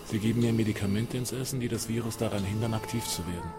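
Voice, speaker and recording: A man's voice: fairly low pitch, fast talker, music in the background, natural voice. A man acting in a radio drama as a fatalistic, sick man who answers tersely and plainly. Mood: resigned. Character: fatalistic, resigned man living with a deadly virus.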